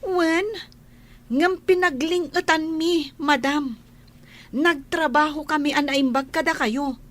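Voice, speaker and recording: A woman's voice: high-pitched, steady tone, slow pace, fairly bright tone. A woman with an Ilocano Filipino accent playing a frank, indignant young former housemaid and student who talks back politely in a radio drama.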